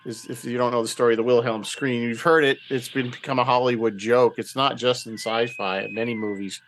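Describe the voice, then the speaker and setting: A man with an average-pitched voice talks casually as a guest podcaster. His delivery is loose and offhand. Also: steady tone, fairly quick, a bit bright, slight background noise. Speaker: podcast creator and host, guest. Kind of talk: casual chat.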